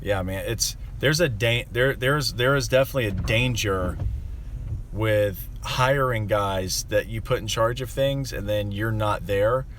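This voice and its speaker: A man's voice: lowish voice, measured pace, fairly bright tone, a little hiss or hum. A young man who runs a landscaping business, rambling with lots of fillers as he vents to the camera. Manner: rambling and aggrieved, many fillers. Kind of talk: casual monologue to camera.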